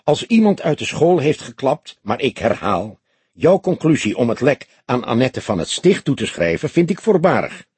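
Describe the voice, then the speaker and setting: A man voicing a calm, skeptical old police detective in an audiobook, measured and placating. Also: medium pitch, breathy edge, dry recording, pitched above the performer's own voice. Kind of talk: audiobook character voice.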